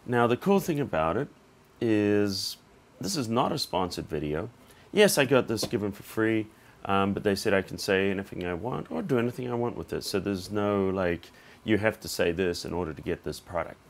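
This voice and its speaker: A man with a slightly hoarse voice hosting a casual unboxing vlog, thinking aloud in an informal, conversational way. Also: lowish voice, expressive, moderate pace. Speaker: tech reviewer and channel host.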